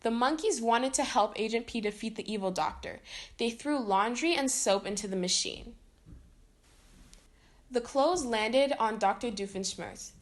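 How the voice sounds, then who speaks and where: A woman reading a children's story aloud in an expressive way, acting out the dialogue. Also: a little high, unhurried. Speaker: storybook reader.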